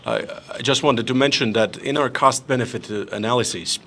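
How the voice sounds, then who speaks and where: A man's voice: medium pitch, a little fast, a bit bright, a little hiss or hum. A man moderating a panel discussion, polite, stumbling now and then as he hands over turns.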